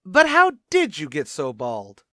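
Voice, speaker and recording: A man's voice: fairly high pitch, wildly swooping pitch, a little slow, fairly bright tone, dry recording, clean studio sound, exaggerated voice. A man playing a brash, slangy tavern host with a New York accent in an old-time radio sitcom. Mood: pushy, friendly. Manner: slangy, mangled grammar, eager chatter.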